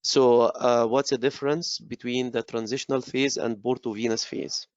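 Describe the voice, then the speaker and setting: Hoarse man with a faltering voice. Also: medium pitch, fairly even intonation, no echo. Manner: halting, many restarts and fillers. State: losing his voice. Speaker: course director and moderator. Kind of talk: online lecture Q&A. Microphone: video call. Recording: dull muffled web call audio.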